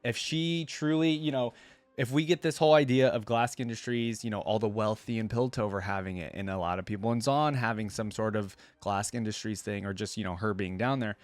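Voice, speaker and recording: Guy doing a reaction vid, kinda deep voice, reads lore then thinks out loud, real dry sound. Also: brisk, dry recording. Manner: conversational, musing aloud. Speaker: reaction video host. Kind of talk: reading lore aloud with casual commentary.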